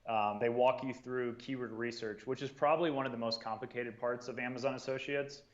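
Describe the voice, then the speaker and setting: A man in a casual podcast discussion, handing out advice in a relaxed way with frequent "um"s and "you know"s. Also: mid-range voice, brisk, dull audio. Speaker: guest, marketing and sales coordinator.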